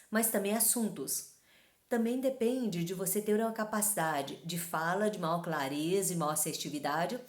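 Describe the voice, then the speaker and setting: Host, woman from Taiwan, middle pitch, chatty tag questions, reverberant room. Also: a little mellow. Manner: conversational, frequent tag questions. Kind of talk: talking-head advice talk.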